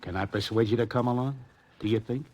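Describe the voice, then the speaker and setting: A man's voice: medium pitch, fast talker, a little mellow, no room sound, muffled recording, close to an everyday voice. An American actor playing a menacing, sarcastic gangster henchman in a 1940s radio crime drama, tough and taunting. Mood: mock polite.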